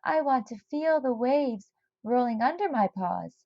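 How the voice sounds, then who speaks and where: A woman's voice: fairly high pitch, expressive, unhurried, dull audio, pitched above the performer's own voice. A woman voicing a dreamy, determined male rat in a storybook, earnest and stubborn. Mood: earnest longing.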